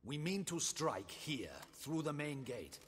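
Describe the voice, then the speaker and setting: An actor playing a proud military guard captain in a video game, stiff, precise and formal. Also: male voice, a little high, very animated, takes it slowly, a bit bright, big-room sound, slight background noise, heavily acted. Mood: briskly formal. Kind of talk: video game cutscene dialogue.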